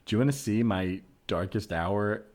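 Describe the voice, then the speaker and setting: A man's voice: lowish voice, moderate pace, a little mellow. A man doing improvised conversational comedy as a comedian.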